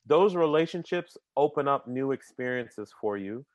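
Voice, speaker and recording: A man in casual conversation as an interview guest, energetic and talkative. He often repeats a word as he starts a sentence and drops in casual slang. His voice sits at a middle pitch and the recording is dry and close, with little room sound. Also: normal speed, dry recording, lo-fi sound. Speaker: podcast guest.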